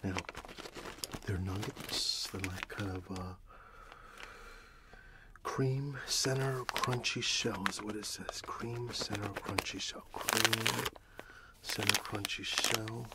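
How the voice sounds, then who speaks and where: A middle-aged man in a soft-spoken ASMR roleplay as a friendly candy shop owner, talking gently and unhurriedly close to the microphone. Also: lowish voice, fairly even intonation, heavy background noise. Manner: gentle, whispery, unhurried customer service.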